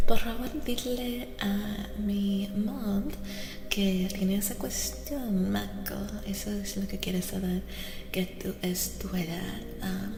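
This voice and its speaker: Slow soft asmr lady doing a live tarot reading, bit of creaky fry, gentle, slips into whispering. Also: medium pitch. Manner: gentle, unhurried, often dropping into whispers. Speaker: streamer host giving a tarot reading. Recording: echoey reverb with soft music.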